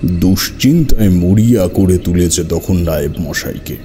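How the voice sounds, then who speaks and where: A man's voice: deep voice, unhurried, warm tone, slight background noise. A man narrating a scripted first-person horror story in a dramatic, literary storytelling manner.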